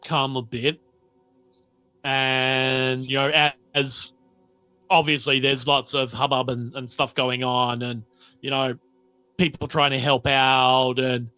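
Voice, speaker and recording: A man narrating a tabletop roleplaying game as game master, haltingly piecing the scene together aloud. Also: medium pitch, sharp tone, clear, resonant tone, dry recording.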